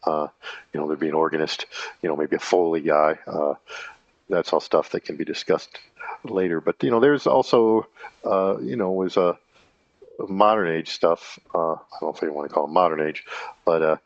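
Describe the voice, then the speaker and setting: A man in his fifties with a very low voice talks in a loose, halting monologue, stopping often with fillers and correcting himself. The sound is dry and a bit muffled. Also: no room sound. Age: 50s. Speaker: channel host. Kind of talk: casual rambling monologue.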